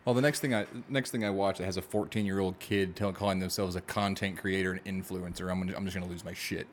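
A man's voice: sits low, steady tone, fast talker, slight background noise. A man co-hosting a casual podcast, talking wryly and bluntly about his kids. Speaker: podcast co-host. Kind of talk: casual chat.

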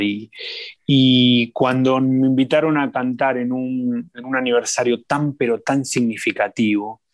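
Expressive Argentine man telling stories. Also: medium pitch. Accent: Argentine Spanish. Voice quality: warm, expressive, lingering on repeated words. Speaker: singer, interview guest. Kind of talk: reflective conversational storytelling.

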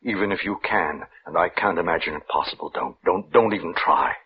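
A man's voice: low voice, lightly acted. Man playing a pleading minister, muffled noisy old radio. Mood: grave pleading. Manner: grave, pleading, halting. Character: troubled, pleading male minister, fearful and morally firm. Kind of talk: old-time radio drama acting.

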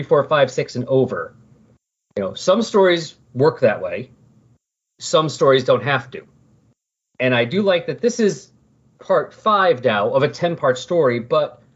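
A man's voice: a little high, husky, dull audio. A man hosting a podcast in casual talk, rambling and correcting himself as he goes. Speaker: podcast host.

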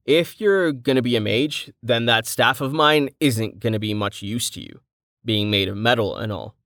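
A narrator voicing Rain, a polite and concerned young adventurer, close to the narrator's own voice. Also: male voice, medium pitch, normal speed, a bit bright, no echo, close to an everyday voice.

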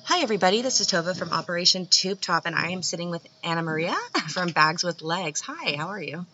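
An upbeat woman interviews a guest, laughing easily, her voice bright and slightly low. The audio is dull and lo-fi, with faint noise behind it. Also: lively intonation, fast, faint music behind. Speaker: podcast host, interviewer. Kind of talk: casual interview chat.

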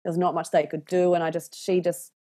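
An Australian woman in her thirties chatting casually and candidly, telling animated stories and swearing freely. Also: fairly low pitch, quick pace. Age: 30s. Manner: animated, candid storyteller who swears freely and acts out voices. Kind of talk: casual podcast chat.

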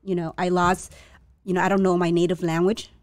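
A woman's voice: lowish voice, little pitch movement, brisk, no echo, clean studio sound. A young woman born to a Chinese family in Vietnam, speaking as an interview guest in a hesitant, reflective way with many restarts. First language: a Chinese dialect. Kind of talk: casual interview.

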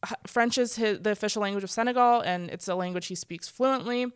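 A woman's voice: mid-range voice, fast, smooth, no room sound, studio quality. A woman talking in a casual conversation, chatty and full of filler words, acting out quoted speech. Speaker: guest speaker. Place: church stage.

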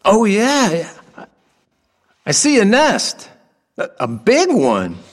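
An actor playing an odd, nostalgic exterminator in an audio drama, earnest and halting with a childlike sense of wonder. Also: male voice, sits high, huge pitch swings, no echo, studio quality, heavily acted. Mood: eager wonder. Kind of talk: intimate audio drama acting.

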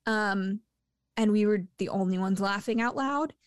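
A woman in a casual movie review chat, talking with lots of fillers and quick laughs. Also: mid-range voice, clean tone, no room sound, clean studio sound. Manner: chatty, laughs often, many fillers.

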